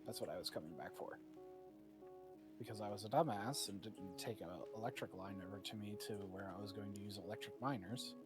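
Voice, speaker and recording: A man's voice: fairly low pitch, a little fast, over loud music. A laid-back man chatting casually and swearing now and then while playing a game on a stream. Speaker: streaming co-player. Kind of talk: casual gaming stream chat.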